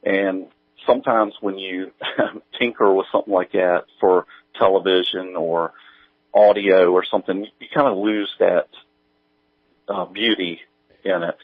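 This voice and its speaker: A man chatting casually as an interview guest, thinking aloud in a halting, rambling way. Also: low-pitched, steady tone, no echo, phone-quality sound. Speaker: guest, audio drama writer and producer.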